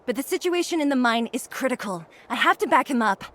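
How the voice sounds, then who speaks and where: Blunt young woman fighter, higher-pitched. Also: animated, fast, a bit bright. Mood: serious, brisk. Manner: curt, no-nonsense. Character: brisk, blunt young woman fighter guarding an underground town. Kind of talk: video game voice acting.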